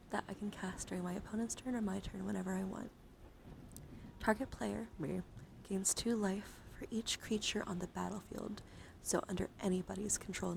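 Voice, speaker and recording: Whispering ASMR woman, creaky edge. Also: medium pitch, background noise. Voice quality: mostly whispered, breathy. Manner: soothing, playfully encouraging asides. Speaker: livestream host. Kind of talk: whispered ASMR card reading with casual chat.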